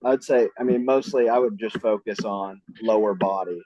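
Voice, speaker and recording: A man's voice: on the low side, moderate pace, warm tone, no echo. A man working as a mobility trainer, giving loose, conversational answers full of "I mean" and "right?". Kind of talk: casual explanatory interview answers. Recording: dull lo-fi sound.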